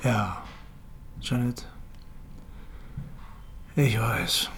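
An actor playing a sober police detective in a radio drama, reporting in a matter-of-fact way. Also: male voice, on the low side, extremely slow, vocal fry, full character voice. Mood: quiet, resigned. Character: male police detective, sober colleague of the commissioner. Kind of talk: naturalistic German radio drama acting.

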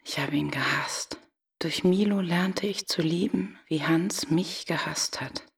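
An actress playing a wounded, haunted woman in a radio play, speaking in an intimate, breathy half-whisper. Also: lowish voice, unhurried, full character voice. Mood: hushed, sorrowful.

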